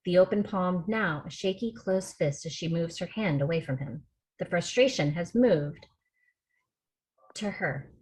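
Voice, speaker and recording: A woman's voice: fairly low pitch, a little slow, darkish tone, poor audio. A woman reading scripted screenplay narration as the stage-direction reader on a video call.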